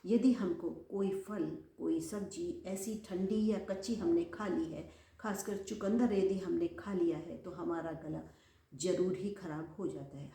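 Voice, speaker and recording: A woman's voice: on the low side, gravelly, dark tone, reverberant. A woman YouTuber giving home remedy tips in a chatty way, repeating phrases for emphasis and addressing viewers as friends. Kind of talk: casual instructional talk to camera.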